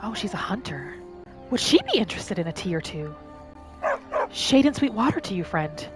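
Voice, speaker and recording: A woman narrating her game roleplay in character, with a gentle, musing manner as if talking to herself and her pets. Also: mid-range voice, big pitch swings, moderate pace. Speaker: roleplay gaming host. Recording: music behind the voice. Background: background music.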